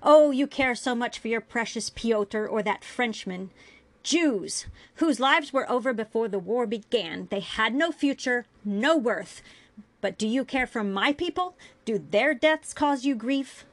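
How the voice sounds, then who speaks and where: A woman's voice: medium pitch, normal speed, no echo, close to an everyday voice. A woman voicing a cruel female alien interrogator in a dramatic story reading, accusing and full of contempt. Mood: furious yelling.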